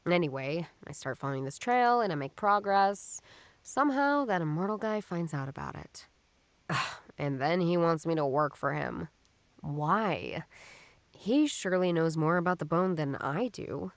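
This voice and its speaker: Skeptical lady detective, noir game inner monologue, lowish voice, wry and stubborn. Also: animated, moderate pace, no echo. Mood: puzzled, wry. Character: stubborn, skeptical woman detective, puzzling things out.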